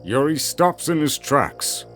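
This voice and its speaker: A man's voice: on the high side, big pitch swings, slow, fairly bright tone, soft background music. A man reading scripted narration for an audio drama, in a storytelling style.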